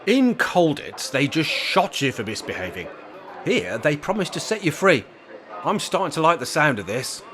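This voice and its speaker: An actor with a British accent playing a sarcastic, rebellious old care home resident in a radio play, grumbling and sardonic. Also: male voice, fairly high pitch, big pitch swings, moderate pace, fairly bright tone. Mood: defiant.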